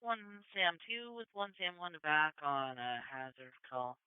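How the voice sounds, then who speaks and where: Male police dispatcher, higher-pitched, very crisp delivery, narrowband two-way radio feed. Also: very animated, a little slow, no echo.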